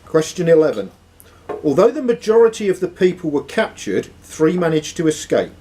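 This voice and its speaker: A man's voice: a little high, very animated, moderate pace, warm tone, slight background noise. A man hosting a live quiz in a club room, reading the questions aloud and ruling on answers. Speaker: quiz host and question reader. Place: sports and social club room.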